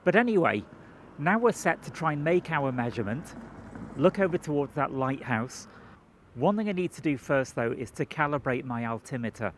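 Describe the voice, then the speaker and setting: Man explaining things over clear background noise. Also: fairly high pitch, expressive, normal speed. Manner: conversational, methodical explaining. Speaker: video presenter. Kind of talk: explanatory vlog to camera. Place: outdoors and inside a moving car. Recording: clear ambient outdoor and car noise.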